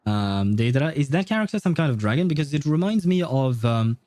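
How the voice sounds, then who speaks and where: A man chatting casually on a livestream while gaming, with a halting, rambling, amused way of talking. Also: medium pitch, animated, a little mellow.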